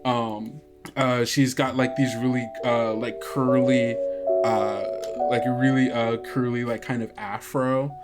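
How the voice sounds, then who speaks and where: A game master narrating an improvised tabletop game casually, haltingly thinking aloud. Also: mid-range voice, level tone. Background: guitar music.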